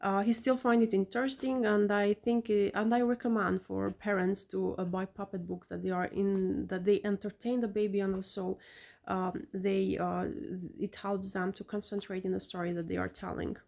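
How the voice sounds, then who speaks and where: A woman with an Albanian accent talks straight to her viewers in a relaxed vlog. Her voice is mellow with a touch of fry, and she phrases things in a careful, non-native English. She sounds earnest and measured as she offers advice. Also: mid-range voice. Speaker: parent vlogger.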